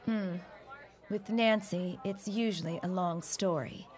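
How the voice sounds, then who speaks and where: An actress playing a poised, curious young bride-to-be in a period audio drama, polite, refined and probing. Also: female voice, lowish voice, a little slow, darkish tone, close to an everyday voice. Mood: arch.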